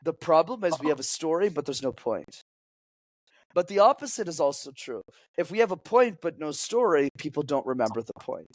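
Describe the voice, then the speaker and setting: A young man who grew up speaking French, explaining storytelling as a public speaking coach in an energetic, teacherly way as a podcast guest. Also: fairly high pitch, fairly quick, no echo.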